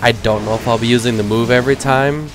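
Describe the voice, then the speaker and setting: A man's voice: mid-range voice, steady tone, fast talker, music in the background. A man in his thirties with a cold that leaves him nasal, giving rambling, self-deprecating casual gameplay commentary. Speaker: gaming commentator.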